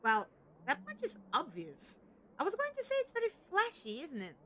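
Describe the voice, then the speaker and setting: A woman's voice: high-pitched, huge pitch swings, normal speed, a bit bright, exaggerated voice. A young woman playing a bold, curious companion in an audio drama, eager and cheeky in manner. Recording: noisy mix with effects and ambience.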